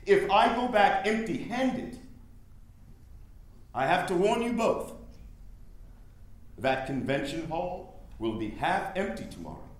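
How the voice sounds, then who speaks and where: An actor in a staged reading playing an earnest, pressing nineteenth-century political ally, with grave, measured delivery. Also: male voice, fairly high pitch. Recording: very echoey room, faint noise.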